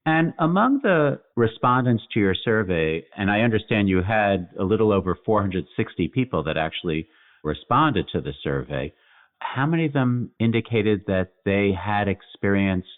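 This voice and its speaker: Lively low-voiced man on a tinny line. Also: moderate pace. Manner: polite, inquisitive interviewer. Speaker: podcast host, physician. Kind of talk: podcast interview questions.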